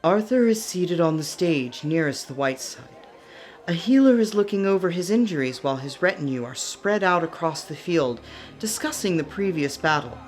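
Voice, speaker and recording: A woman's voice: fairly low pitch, animated, moderate pace, darkish tone, faint music behind. A woman narrating a scripted fantasy radio play with a dramatic storytelling manner.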